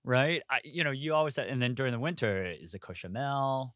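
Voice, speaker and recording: A man's voice: medium pitch, a little fast, fairly bright tone, no echo, clean studio sound. A man from Hong Kong, an investor guest talking candidly in an interview, frank and full of asides. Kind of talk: casual interview conversation.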